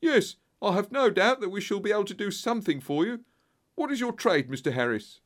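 A man's voice: high-pitched, animated, fairly quick, voice pushed up. A man voicing a panicky, desperate company director in an audiobook story, speaking in a nervous, over-eager, pleading manner. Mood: nervously eager.

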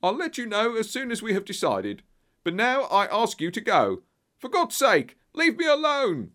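Guy doing a nervous desperate company boss in an audiobook, high voice all over the place, over-eager and pleading. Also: sing-song, normal speed. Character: panicky, desperate male company director, ingratiating and terrified.

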